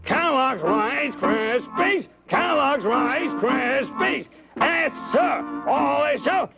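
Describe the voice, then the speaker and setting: A proud puppet detective speaks extremely high and slowly, pitching to children. The old TV recording is muffled, under loud music. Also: male voice, expressive, creaky, heavily acted. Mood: proud, salesmanlike. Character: proud, squeaky puppet private-eye inspector. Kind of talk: cartoonish sales pitch to children.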